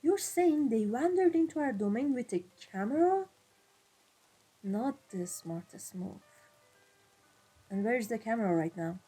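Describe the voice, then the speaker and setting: Husky, dark-voiced female vampire mafia boss, acted by a woman; cold, imperious, measured ASMR roleplay over music. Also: on the high side, sing-song, exaggerated voice, low-quality recording. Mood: stern, impatient. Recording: music behind the voice. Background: classical background music.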